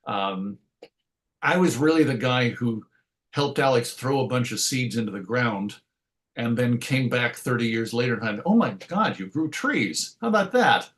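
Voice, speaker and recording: Middle aged guy in an interview, medium pitch, thoughtful and well spoken, kinda self-deprecating. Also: lively intonation, moderate pace, fairly bright tone. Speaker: interview guest, writer and radio show host. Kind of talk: reflective conversational interview.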